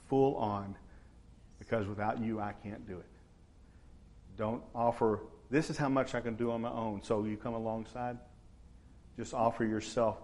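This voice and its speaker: Preacher guy, lowish voice, easygoing chatty sermon w lots of uhs. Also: darkish tone. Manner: conversational, unhurried, frequent uh fillers. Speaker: pastor. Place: church.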